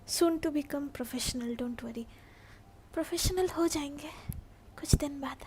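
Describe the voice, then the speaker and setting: A woman's voice: high voice, very fast, a little mellow, a little hiss or hum, low-quality recording. A young woman with an Indian accent chatting casually as a game streamer, switching between languages. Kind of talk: casual chat on a livestream, mixing languages.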